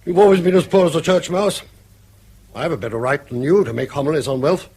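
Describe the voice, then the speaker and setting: A man's voice: fairly high pitch, fast, darkish tone, husky. An actor playing a blunt, teasing, cantankerous old English grandfather with a sardonic, needling manner in a British radio drama. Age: older.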